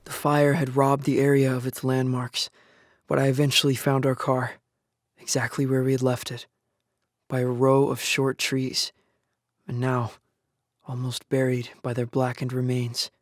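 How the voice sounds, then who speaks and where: A man's voice: medium pitch, monotone, measured pace, no room sound, clean studio sound, lightly acted. An actor playing a young male survivor of an apocalypse in a first-person audio drama, earnest, shaken and grieving for his father. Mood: bleak, haunted. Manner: somber, earnest storytelling with anguished outbursts. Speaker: lead actor and narrator. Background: music.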